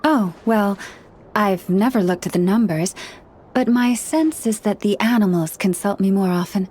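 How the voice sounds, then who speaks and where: A woman voicing a gentle, earnest young woman who counsels animals in a video game, speaking in a measured, formal way. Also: mid-range voice, a little hiss or hum, natural voice. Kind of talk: video game character dialogue. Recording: studio voice over background music.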